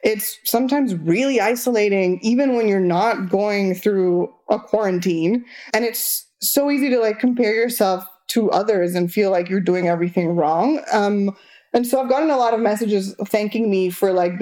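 A young woman from Argentina, with Spanish as her first language, speaks openly in a casual interview. She pads her sentences with "like" and her voice jumps higher when she gets exasperated. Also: normal speed. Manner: candid, many "like" fillers, lively rises when exasperated. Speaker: interview guest.

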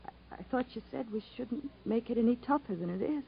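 An actress playing a devoted, pleading young country wife in a 1950s radio melodrama. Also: female voice, a little high, expressive, fairly quick, gravelly, a little hiss or hum. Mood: tearful devotion. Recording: dull 1950s radio broadcast recording.

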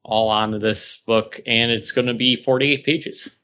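A man's voice: mid-range voice, steady tone, a little slow, sharp tone. A man co-hosting a podcast, talking casually about comic books on a slightly muffled, lo-fi recording. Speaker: podcast co-host. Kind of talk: casual comic book chat. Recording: dull lo-fi recording.

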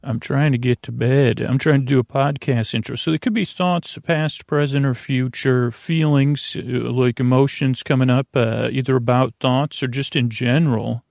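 A man hosting a bedtime podcast in a meandering, halting, whimsical monologue with a gravelly, creaky voice. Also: mid-range voice, a little mellow, no echo, studio quality. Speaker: podcast host.

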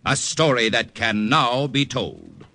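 A man announcing a radio drama in formal, measured 1940s style. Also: mid-range voice, wildly swooping pitch. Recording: old radio broadcast with clear music behind.

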